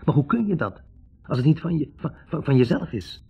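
Wavering young male medical student for radio drama: low, husky, hesitant, on a dull old recording. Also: big pitch swings, fairly quick, mellow, faint music behind, exaggerated voice. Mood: hesitant, reflective. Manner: hesitant, searching for words. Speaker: actor in a radio play. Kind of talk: 1960s Dutch radio drama acting. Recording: dull, band-limited 1960s radio recording.

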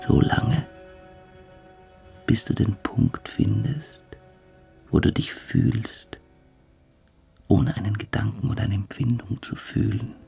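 Creepy fanatical young cadet in a radio play, super slow hypnotic orders, gravelly, goes breathy and hushed. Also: male voice, on the low side, faint music behind, exaggerated voice. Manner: slow, hypnotic commands, sinking into whispers.

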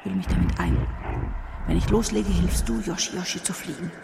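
A woman playing a tough, sharp-tongued female agent in a German radio drama, curt and commanding. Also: fairly low pitch, huge pitch swings, moderate pace, vocal fry, background noise, exaggerated voice. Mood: decisive, commanding.

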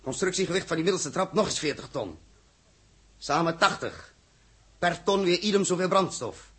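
An actor playing a blunt, sarcastic space captain in a 1960s Dutch radio play, with a husky voice. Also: male voice, sits high, animated, moderate pace, a bit bright, heavily acted. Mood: sardonic, explanatory. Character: blunt, hot-headed male space captain, sarcastic toward experts. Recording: old 1960s radio drama recording.

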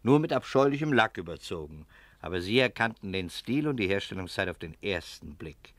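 An actor playing a shrewd English private detective in a German radio crime drama, politely probing and deliberate with gentle irony. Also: male voice, sits low, expressive, dry recording. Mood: calmly accusing.

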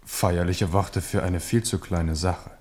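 A man's voice: deep voice, steady tone, takes it slowly, a little mellow. An actor in a radio drama playing a proud, shrewd king, confident and parrying in his manner. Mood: wry, parrying. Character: proud, shrewd, self-assured French king. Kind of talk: 1970s German radio drama acting.

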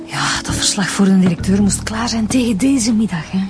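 An actress playing an efficient, matter-of-fact police inspector in a radio drama, with a Flemish Belgian accent. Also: female voice, medium pitch, fairly quick, over music, lightly acted. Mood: easygoing. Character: efficient, level-headed female police inspector. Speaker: actor in a radio serial. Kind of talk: naturalistic radio drama acting.

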